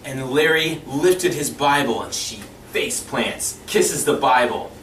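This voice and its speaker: A man with a bright, forceful voice tells a comic story with a mock-serious delivery and dramatic pauses. He sits some way from the mic in a roomy space, with faint noise behind him. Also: mid-range voice. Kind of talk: animated comic storytelling to camera. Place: small indoor room, seated before camera. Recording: roomy, distant mic with background hiss.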